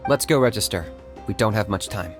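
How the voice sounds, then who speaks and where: A man's voice: fairly low pitch, lively intonation, a little fast, natural voice. A man playing a stern, blunt but protective young warrior in an anime game, terse and serious. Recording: music behind.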